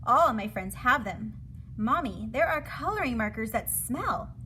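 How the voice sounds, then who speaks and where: Woman voicing an eager, high-pitched wheedling little girl. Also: lively intonation, a little slow, pushed and bright, slight background noise. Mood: wheedling, insistent. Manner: pleading, insistent, emphatic.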